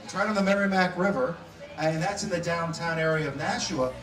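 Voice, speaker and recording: A man's voice: fairly high pitch, brisk. A man hosting a food radio and TV show, introducing a guest chef live at an event in an upbeat, promotional, announcer-like way. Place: outdoor festival stage. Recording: echoey PA sound from far off.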